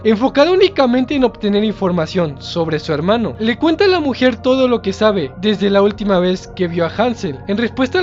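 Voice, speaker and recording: A man narrating a scripted horror story as its storyteller, in a slightly lo-fi recording. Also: high voice. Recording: slightly dull, lo-fi sound. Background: background music.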